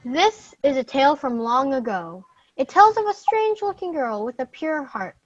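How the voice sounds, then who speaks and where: High, animated woman storyteller. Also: moderate pace, dry recording, muffled recording. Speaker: narrator. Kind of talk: scripted storytelling for children.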